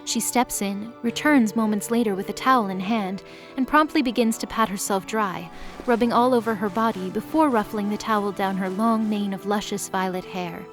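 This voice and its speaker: A woman's voice: mid-range voice, normal speed. Woman narrating over faint music. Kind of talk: scripted story narration.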